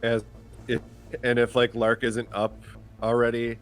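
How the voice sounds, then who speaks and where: A man playing a tabletop roleplaying game, chatting casually about his character's moves in halting, tentative phrases. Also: mid-range voice, completely flat delivery. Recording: music behind the voice. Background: background music.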